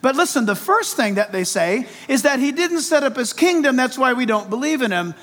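A man's voice: very high voice, expressive, brisk, fairly bright tone. A man preaching an expository sermon, speaking emphatically and conversationally, posing rhetorical questions straight to his listeners. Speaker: pastor preaching to congregation.

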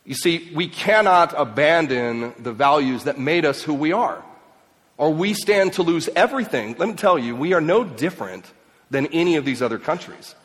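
An emphatic man who grew up in Mexico and Ecuador addresses a live audience. His voice sits a little higher than most men's and swings from forceful to hushed. A long hall reverb trails each phrase. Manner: impassioned, emphatic, swings between loud and quiet. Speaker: speaker. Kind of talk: speech to an audience.

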